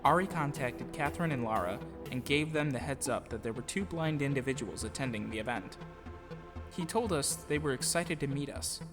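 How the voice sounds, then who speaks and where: A man narrates a personal account with music clearly playing behind him. His voice sits at a middle pitch, and he reads in an earnest, reflective storytelling style. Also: lively intonation, moderate pace. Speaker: audio drama producer and voice actor. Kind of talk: scripted first-person storytelling.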